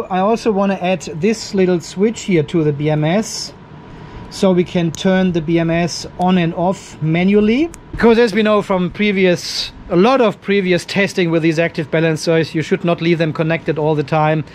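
A man in his fifties narrating a hands-on DIY job in a casual, informal way, thinking aloud as he works. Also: high voice. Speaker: DIY video host. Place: garage workshop with metal roof. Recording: some background noise in workshop.

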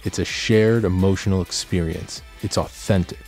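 A man reading a scripted promotional voice-over in an earnest, measured way. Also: low-pitched, fairly even intonation, creaky, darkish tone. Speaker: voice-over narrator. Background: music.

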